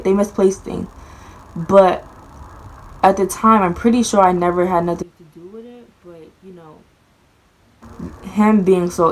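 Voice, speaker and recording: A young woman vlogger telling a childhood story in casual chat, rambling with many fillers and restarts, her voice often dropping very quiet. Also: medium pitch, low-quality recording.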